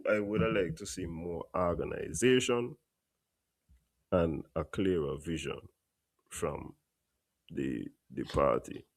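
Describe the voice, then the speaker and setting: A Caribbean man hosting a live political commentary show, a little stuffy from a cold, talking plainly and bluntly in a long unhurried monologue. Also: low-pitched, creaky, dry recording. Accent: Caribbean English. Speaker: host. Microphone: home studio mic.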